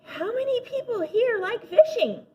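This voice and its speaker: A woman reads as a flustered schoolkid from a storybook, bluffing through a speech in a high, overbright voice. The delivery is halting and improvised, on a slightly dull recording. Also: lively intonation, a little mellow. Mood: forced confidence.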